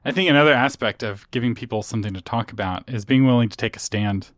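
A male podcast host speaks at a middling pitch and an easy, measured pace, his phrases fading quietly as they end. The recording is dry and close-miked. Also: no room sound. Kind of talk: interview questions, casual chat.

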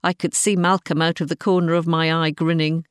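A woman reading a scripted, diary-style short story in an expressive manner. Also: low-pitched, fairly quick, clean tone, no room sound, clean studio sound. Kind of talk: scripted first-person story narration, diary-like.

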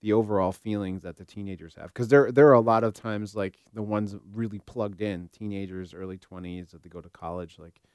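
A man's voice: on the low side, fairly even intonation, fairly quick, few pauses, darkish tone, smooth, no room sound, studio quality. A man hosting a podcast in casual conversation, thinking aloud in loose, informal sentences. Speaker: podcast host.

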